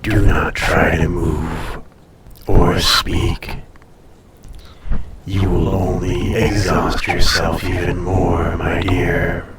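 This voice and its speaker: A man voicing an ancient, haughty, possessive dragon in an intimate audio roleplay, speaking formally and deliberately with a breathy, softly menacing, seductive delivery. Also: low voice, level tone, reverberant, recorded from a distance, a loud crowd. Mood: protective, commanding.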